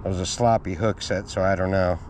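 Low-voiced man vlogging over loud outdoor noise. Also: steady tone, fairly quick. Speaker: angler narrating own fishing outing. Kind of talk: casual vlog commentary. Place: open fishing pier outdoors.

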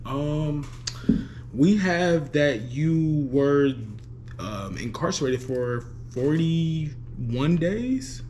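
A man making a prank phone call as an official, speaking politely and formally with hesitant pauses. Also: a little high, expressive, dark tone, slight background noise. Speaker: prank caller.

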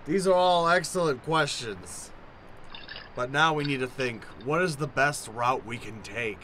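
An actor playing a drunk, scheming mentor in an improvised old-time radio play, grandiose and dramatic. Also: male voice, medium pitch, measured pace, rough recording. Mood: conspiratorial. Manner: grandiose, dramatic, swinging from murmurs to shouts. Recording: noticeable background noise.

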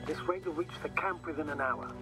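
Higher-pitched radio mission commander. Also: male voice, fairly quick, rough recording. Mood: firm. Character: pragmatic, mission-first male expedition commander. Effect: radio comms. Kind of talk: naturalistic game voice acting. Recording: radio link under loud music.

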